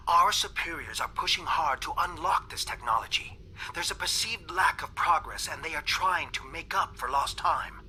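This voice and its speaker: An actor playing a fearful dissident alien scientist in a game cutscene, speaking in a measured, grave manner. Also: male voice, on the high side, sharp tone, a little hiss or hum, low-quality recording.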